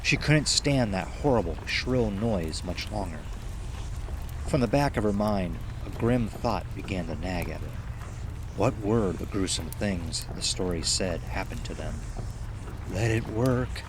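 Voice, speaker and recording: A man with a fairly low voice narrates a horror story for an audio drama. His delivery is dramatic and suspenseful. A light bed of noisy ambience sits behind him. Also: measured pace.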